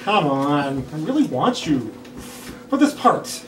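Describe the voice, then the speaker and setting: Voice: mid-range voice, sing-song, slow pace. An actor playing an eager amateur filmmaker with persuasive salesman-like patter at a comedy table read. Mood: pleading, persuasive. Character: eager, pushy amateur filmmaker pitching and pleading. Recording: roomy distant mic, clear background noise.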